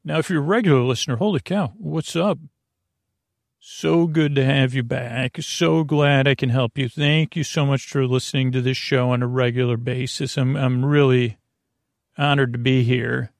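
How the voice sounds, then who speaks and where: A man hosting a bedtime podcast in a creaky voice, meandering and repetitive, halting and wandering off on tangents. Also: mid-range voice, dry recording, studio quality. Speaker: podcast host. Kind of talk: rambling bedtime podcast monologue.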